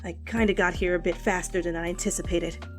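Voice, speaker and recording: A woman's voice: on the low side, steady tone, fairly quick, faint music behind, natural voice. A woman voicing a friendly, cheeky young man who delivers pizza, in a fan fiction reading. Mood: sheepish.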